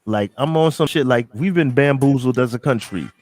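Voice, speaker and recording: A middle-aged man from New York, talking casually on a podcast, animated and emphatic, repeating himself and swearing freely. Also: mid-range voice, moderate pace. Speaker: podcast co-host.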